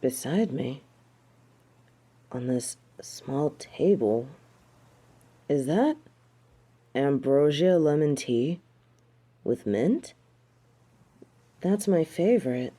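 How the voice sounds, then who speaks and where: A woman's voice: low voice, animated, drawn-out words, pauses often, warm tone, husky, exaggerated voice. A woman playing a snobbish, indignant fallen angel in a theatrical audio drama, speaking with haughty disdain. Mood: wondering, savoring. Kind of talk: dramatic audio roleplay acting.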